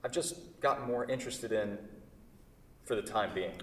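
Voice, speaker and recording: A man's voice: lowish voice, normal speed, fairly bright tone, slight background noise, natural voice. A man in a staged play reading, playing a solitary woodworking artist who is polite, guarded and hesitant. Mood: musing. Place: theatre stage. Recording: very echoey hall, distant microphone.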